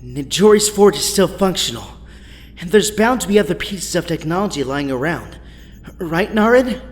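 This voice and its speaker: A man's voice: high-pitched, lively intonation, moderate pace. A man playing a vengeful, anguished teenage hero in an audio drama, with an intense, strained delivery. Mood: subdued.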